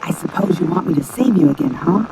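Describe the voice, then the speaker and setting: A breezy, teasing female space superheroine talks through a crackling, breaking-up radio transmission. Her lines sit at a middling pitch under loud static hiss. She sounds cocky and unhurried in an audio drama. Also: animated.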